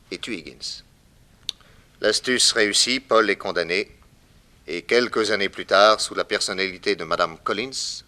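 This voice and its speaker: A man's voice: deep voice, sharp tone. A man acting a shrewd, confident private detective in an emphatic, declamatory 1960s French radio crime drama style. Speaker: detective hero and narrator.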